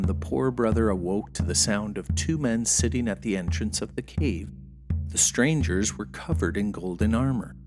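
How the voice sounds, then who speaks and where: A storyteller giving a dramatic scripted narration of a folktale, over music. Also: mid-range voice.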